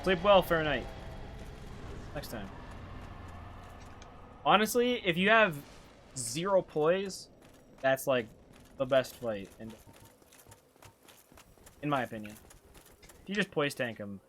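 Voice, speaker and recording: Animated Canadian man streaming a game. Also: on the high side, slow pace, long pauses, slight background noise. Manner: rambling, slangy, thinking aloud. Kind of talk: casual live gameplay commentary.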